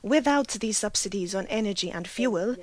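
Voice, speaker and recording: A woman's voice: mid-range voice, animated, moderate pace, no room sound. A woman from Malta, giving an argumentative statement at a formal meeting, often restarting her sentences. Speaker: meeting delegate.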